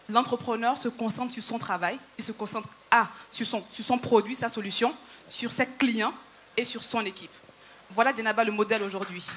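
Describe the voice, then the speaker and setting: A woman in her thirties, whose first language is Yoruba, speaks on a handheld microphone from a conference stage. Her voice is bright and sits a little high. Faint hall ambience sits behind her as she rallies the audience. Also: a little hiss or hum. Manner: persuasive, rallying, addresses the audience directly. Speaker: entrepreneur and speaker.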